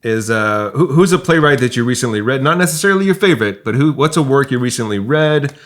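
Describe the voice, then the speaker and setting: A man's voice: mid-range voice, animated, quick pace, fairly bright tone. A man hosting a podcast, chatting casually with a guest and addressing listeners as a playwright and host. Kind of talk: casual interview chat and show outro.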